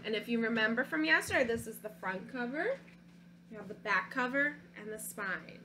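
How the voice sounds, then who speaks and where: A woman teacher reading a storybook aloud to young children, gently asking them questions between pages. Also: on the high side, expressive, normal speed, slight background noise. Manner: gentle, asks children questions, sings a short song.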